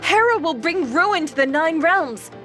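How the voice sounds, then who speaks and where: A desperate goddess, acted by a woman, with a very high voice over faint music. She pleads and warns urgently. The delivery is dramatic and foreboding, in the manner of an audio mythology drama. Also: animated, a little slow, a bit bright, full character voice. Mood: urgent warning.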